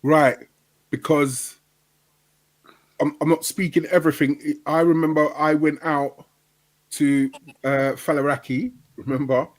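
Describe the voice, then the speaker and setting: A man hosting a casual podcast interview, asking questions and jumping in with excited reactions. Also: a little high, measured pace, long pauses, low-quality recording. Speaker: podcast host.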